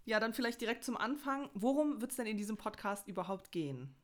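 A woman's voice: a little high, animated, brisk. A young woman hosting a casual podcast, talking in an upbeat, giggly and rambling way. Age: young adult. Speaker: podcast host. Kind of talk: casual chat.

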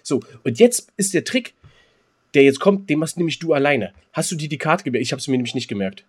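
Husky, higher-pitched man. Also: lively intonation, a little fast, a little mellow. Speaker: podcast co-host. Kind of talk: casual chat.

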